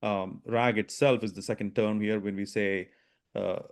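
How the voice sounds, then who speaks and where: A man presenting a business webinar, talking quickly with frequent restarts and "right?" tags. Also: fairly low pitch, steady tone. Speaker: webinar presenter, product strategy VP.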